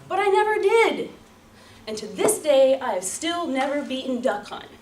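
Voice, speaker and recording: High, animated woman storyteller in echoey room. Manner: theatrical, emphatic, with deliberate repetition and pauses. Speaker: public speaker at a speaking club. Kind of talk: rehearsed dramatic storytelling speech to an audience. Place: room before an audience. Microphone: distant microphone. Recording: roomy, distant mic, light background noise.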